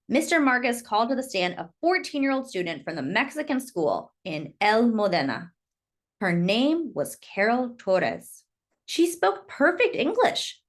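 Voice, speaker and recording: A woman reading a children's book aloud in expressive storytelling narration. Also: mid-range voice.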